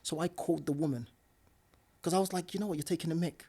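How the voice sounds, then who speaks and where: A young man with a slangy edge speaks as a podcast guest. His voice sits fairly high for a man. He talks with emphatic energy, laughs readily and doubles back on his words. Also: quick pace, husky. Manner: animated, emphatic, laughs often, repeats words. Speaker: podcast guest, property investor. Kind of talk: casual podcast conversation.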